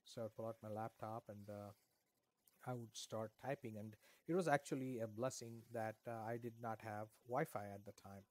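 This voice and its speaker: A man's voice: on the low side, steady tone, moderate pace. A middle-aged man from India whose first language is Kannada, answering interview questions at length with many fillers and restarts. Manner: rambling, with frequent fillers and restarts. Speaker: author guest.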